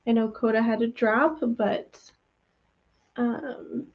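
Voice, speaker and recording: A woman hosting a casual reading livestream from home, her voice worn from a cold, chatty and relaxed with many ums and soft laughs. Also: a little high, slow pace. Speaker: livestream host of reading sprints. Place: at home, room with her cat. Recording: dull lo-fi stream audio.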